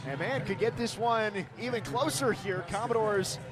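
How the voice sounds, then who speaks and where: A man calling live sports play-by-play in punchy, crisp announcer phrasing. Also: high voice, moderate pace.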